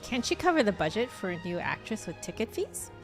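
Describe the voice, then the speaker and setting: A woman with a mid-pitched voice plays through a video game on stream, casually reading dialogue choices aloud. Game music runs clearly behind her. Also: big pitch swings, brisk.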